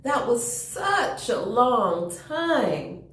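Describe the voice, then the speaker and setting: A woman's voice: a little high, sing-song, drawn-out words, fairly bright tone, distant mic, voice pushed up, exaggerated voice. A woman voicing an eager, impatient little boy in a storybook, with a pleading manner, in a roomy, echoing space.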